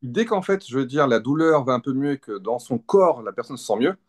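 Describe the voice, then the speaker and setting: A man's voice: medium pitch, lively intonation, a little fast. A man in his fifties, a physiotherapist explaining his work as an interview guest in casual talk, thinking aloud and often rephrasing as he goes. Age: 50s.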